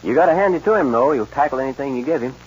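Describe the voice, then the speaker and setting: A folksy, easygoing cowhand in an old western radio play. His husky voice swings up and down quickly, on a dull recording. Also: mid-range voice, expressive. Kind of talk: 1940s radio western acting.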